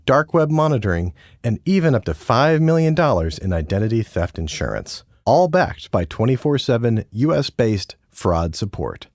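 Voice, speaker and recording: A man's voice: fairly low pitch, a little mellow, no echo, studio quality. A man reading scripted advertisement narration as an announcer.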